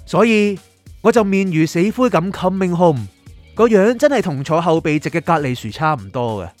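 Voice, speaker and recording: A man's voice: high voice, lively intonation, normal speed, a little mellow, no echo, faint music behind. A man with a Hong Kong accent playing an exasperated, henpecked boyfriend, telling his love woes in a colloquial, self-mocking radio drama style. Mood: dejected, self-mocking. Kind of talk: dramatised first-person comic storytelling.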